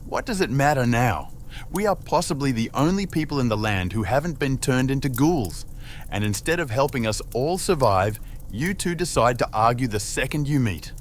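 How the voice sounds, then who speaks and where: A man voicing a weary young man, exasperated and forceful when he speaks up. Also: medium pitch, expressive, normal speed, slight background noise, pitched above the performer's own voice, natural voice.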